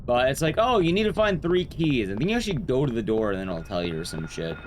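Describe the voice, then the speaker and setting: A man's voice: medium pitch, very animated, fast talker, a little hiss or hum. A man giving casual, rambling live commentary on a game to his stream chat, informal and a bit sweary. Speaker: speedrun streamer.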